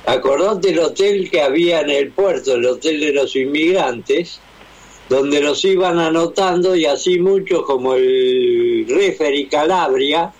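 A man's voice: on the high side, a little slow. A man with an Argentine accent chatting casually on the radio and telling anecdotes. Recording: dull radio broadcast sound.

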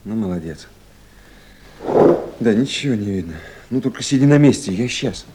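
An actor playing a kindly official on night duty in a 1970s Soviet radio play, gentle and coaxing or businesslike. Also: male voice, lowish voice, lively intonation, normal speed, noisy background, close to an everyday voice. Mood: reassuring.

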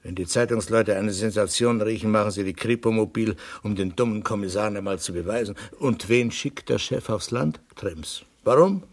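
A man playing a grumpy, world-weary police inspector in a 1960s German radio play, with a gravelly, husky voice and curt manner. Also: fairly low pitch, normal speed, hardly any pauses. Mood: grumbling, sour. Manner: grumbling, curt.

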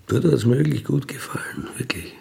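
An actor playing a wistful, dying ex-robber in an intimate radio monologue, halting and musing as he trails into murmurs. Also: medium pitch, gravelly, warm tone, whispered. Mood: regretful, nostalgic. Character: wistful dying ex-robber dreaming of freedom in Siberia.

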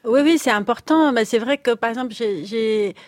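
A woman with a slightly high voice speaks as a guest in a radio studio. She explains things step by step, often repeating and restarting words. The recording is dry and close. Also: moderate pace, no room sound. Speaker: author and guest. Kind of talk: radio interview.

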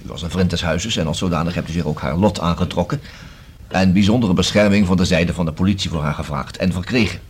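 A male actor in a Dutch radio play as a probing, self-assured police inspector who questions deliberately and dryly, with a husky voice. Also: sits low, slight background noise, natural voice. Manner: deliberate, rhetorical questioning with emphatic repeats.

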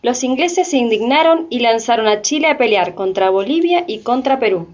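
A woman's voice: on the high side, expressive, normal speed, natural voice. A woman performing as a narrator in a satirical historical radio play, telling it in scripted, declarative lines. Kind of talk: scripted dramatized narration in Spanish. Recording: dull lo-fi radio play, faint music.

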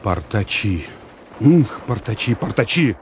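An actor playing a fervent young Soviet army officer directing mortar fire in a radio play. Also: male voice, fairly low pitch, very animated, slow pace. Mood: irritated. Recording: dull radio play audio, loud battle noise.

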